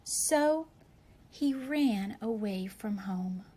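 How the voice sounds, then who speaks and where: A woman reading a picture book aloud to young children with deliberate, dramatic emphasis. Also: mid-range voice, animated, warm tone.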